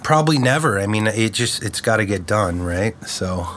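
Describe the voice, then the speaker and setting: Male podcast guest, congested and easygoing, lowish voice, slight background noise. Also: lively intonation, moderate pace, fairly bright tone. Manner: easygoing, short replies. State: congested, stuffed up. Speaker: guest music producer. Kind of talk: casual chat.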